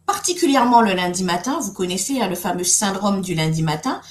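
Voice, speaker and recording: A woman's voice: medium pitch, lively intonation, brisk, darkish tone, echoey room. A middle-aged woman from Guadeloupe hosting a live webinar, speaking in a friendly way with many fillers and restarts. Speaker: webinar host and coach.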